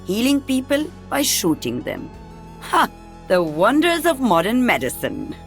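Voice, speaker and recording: Casting: a seasoned elderly female soldier for a game; dry wit, world-weary, highly varied intonation, light music underneath. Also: huge pitch swings, unhurried, exaggerated voice. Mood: dry, amused. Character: elderly veteran female sniper, wry, weary, maternal. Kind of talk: video game character voice lines.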